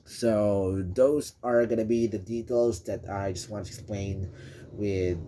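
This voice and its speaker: A young man from the Philippines with a Filipino accent, speaking English as a second language and explaining a school topic in a hesitant way with many pauses and restarts. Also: fairly low pitch, steady tone, mellow. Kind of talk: informal explainer video.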